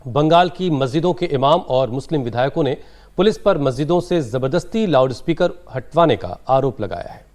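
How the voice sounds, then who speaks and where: A man's voice: mid-range voice, expressive, moderate pace, a little mellow. A man reading a scripted news report as a voice-over.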